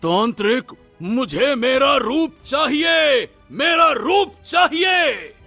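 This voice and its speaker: A man's voice: very high-pitched, expressive, slow pace, vocal fry, bright, forceful tone, no room sound, soft background music, exaggerated voice. A man voicing a vain young cartoon king turned monster, desperate and wrathful, with a stammering, wailing, melodramatic delivery. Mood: desperate rage. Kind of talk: animated Hindi moral-story acting.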